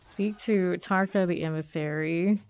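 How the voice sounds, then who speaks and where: Woman, lowish voice, congested, sniffly, casual gameplay streaming, thinks aloud. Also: steady tone, measured pace, darkish tone, smooth, no room sound. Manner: thinks aloud, chatty and self-questioning.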